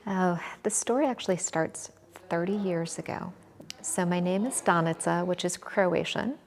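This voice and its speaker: An American woman in her forties from Michigan, answering interview questions in a measured, thoughtful way with frequent pauses. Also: on the low side. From Michigan, USA. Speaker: interview guest, volunteer. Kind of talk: conversational interview.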